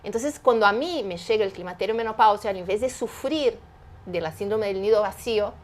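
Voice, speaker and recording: A woman's voice: medium pitch, a little fast. A woman, speaking as an interview guest. She explains her points emphatically and often poses rhetorical questions. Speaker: guest, entrepreneur. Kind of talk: animated interview conversation.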